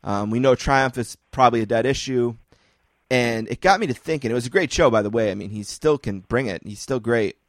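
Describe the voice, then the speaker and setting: A man's voice: mid-range voice, no room sound, clean studio sound. A man hosting a podcast, chatting casually and joking at his own expense. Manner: chatty, self-deprecating, quick asides. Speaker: podcast host. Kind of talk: casual chat.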